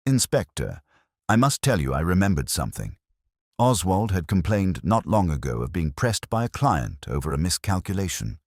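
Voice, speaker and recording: A man's voice: sits low, sing-song, normal speed, no echo, clean studio sound, full character voice. A man voicing a nervous, eager accountant who speaks hesitantly. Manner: hesitant, fretful. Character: nervous, eager middle-aged male accountant.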